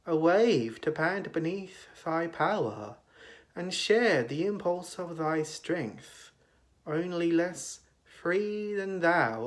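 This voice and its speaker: A man giving a dramatic recitation of poetry in a declamatory manner, with long pauses between phrases. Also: a little high. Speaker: poetry reader.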